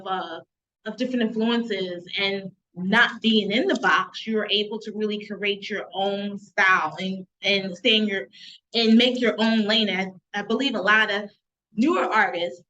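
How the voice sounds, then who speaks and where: A woman's voice: mid-range voice, sharp tone, lo-fi sound, a little hiss or hum. A woman hosting an interview show, introducing her guest and praising him with enthusiasm. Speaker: host.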